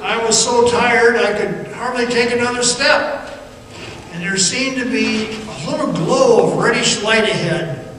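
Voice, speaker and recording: A man playing a frightened miner recounting a haunting underground ordeal as a halting, suspenseful radio theatre monologue. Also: very high-pitched, fairly bright tone. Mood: fearful, tense. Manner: halting, suspenseful, dramatic storytelling. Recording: noisy, very echoey hall, distant mic.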